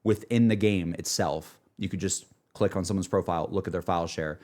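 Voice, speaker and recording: A man's voice: deep voice, a little fast, a little mellow. A young adult man chatting casually on a livestream, rambling enthusiastically about gaming in a self-deprecating way. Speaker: streamer.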